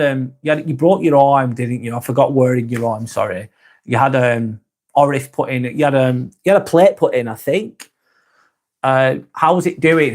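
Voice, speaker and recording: An English man hosting a live vlog, chatting casually with viewers, often correcting himself and laughing easily. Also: a little high. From England. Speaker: live vlog host reading chat. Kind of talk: casual livestream chat.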